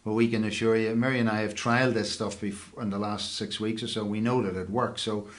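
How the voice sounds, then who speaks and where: Low-voiced man chatting casually. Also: fairly quick. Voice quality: bass voice. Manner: relaxed, rambling, thoughtful with fillers. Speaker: host of online singalong. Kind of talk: casual chat.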